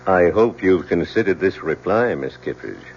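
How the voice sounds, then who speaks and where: Stern formal court official in old radio drama, low voice, big swings in pitch, noisy recording. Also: male voice, animated, vocal fry, muffled recording. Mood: stern, insistent. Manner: formal, measured, probing.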